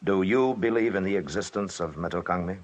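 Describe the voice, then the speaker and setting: An actor playing a steady, decisive expedition leader in a 1950s radio adventure, businesslike and authoritative as he acts and narrates. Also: deep voice, a little fast, natural voice. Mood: respectful. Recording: noisy 1950s radio recording.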